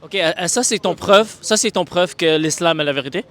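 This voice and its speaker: A man interviewing people on the street, calm and probing, restating claims back to check them. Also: high voice, normal speed.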